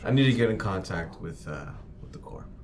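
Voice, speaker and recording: A man's voice: deep voice, lively intonation, brisk, darkish tone, a little hiss or hum. A man playing in a tabletop roleplaying game, improvising talk in character. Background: background music.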